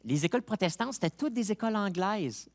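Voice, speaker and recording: A man with a Québécois accent, a pastor preaching a sermon who moves from quiet reflection to loud, rising appeals, with chatty personal asides. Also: high-pitched, expressive, fairly quick. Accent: Québécois French. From Quebec. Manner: chatty asides with fillers and laughs.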